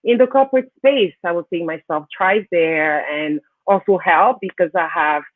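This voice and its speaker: A woman from Cameroon speaks as an interview guest in a low voice. The sound is thin and narrow like a call, with very little room in it. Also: moderate pace, no echo. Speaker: interview guest, financial services professional. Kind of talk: conversational interview answers.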